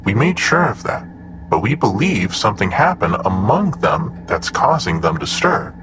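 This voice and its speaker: A man playing a composed android assistant in an audio drama, speaking in a clinical, measured, matter-of-fact manner. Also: lowish voice, sing-song, music in the background, rough recording. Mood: clinical, informative. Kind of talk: scripted audio drama dialogue.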